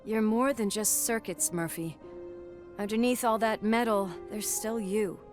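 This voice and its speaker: An actress playing a tough, caring police partner in a video game, speaking in a wry, earnest and informal way. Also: female voice, medium pitch, measured pace, music in the background, lightly acted. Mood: concerned, wry. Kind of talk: naturalistic video game acting.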